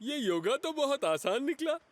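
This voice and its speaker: Very high-pitched whiny cartoon uncle. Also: male voice, big pitch swings, normal speed. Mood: smug, pleased. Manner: exaggerated, comically dramatic, grumbling. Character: whiny excitable middle-aged uncle, comic complainer. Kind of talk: Hindi cartoon dub acting.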